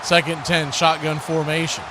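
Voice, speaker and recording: A high-pitched male sports announcer calls a football game live, play by play. His voice comes over a clearly noisy stream. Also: little pitch movement, moderate pace.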